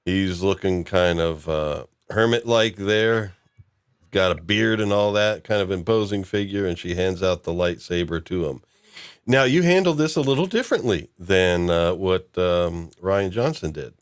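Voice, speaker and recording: A man with a lowish voice hosts a loose podcast chat. He rambles, restarts his sentences and chuckles as he goes. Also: lively intonation, measured pace, smooth, no room sound, clean studio sound. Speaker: podcast host. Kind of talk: casual podcast discussion.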